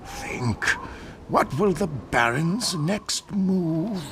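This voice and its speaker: A man's voice: on the high side, lively intonation, very slow, slight background noise, full character voice. A man voicing a grave, earnest nature sage and rebel leader in a video game, solemn and dramatic. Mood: dismayed, brooding. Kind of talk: animated video game acting.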